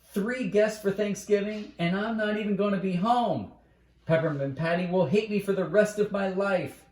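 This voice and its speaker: A man's voice: sits high, moderate pace, darkish tone, voice pushed up, close to an everyday voice. A man voicing a gloomy, wishy-washy young boy who sighs, hesitates and complains in a read-aloud storybook, in a roomy recording. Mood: wailing, doomed.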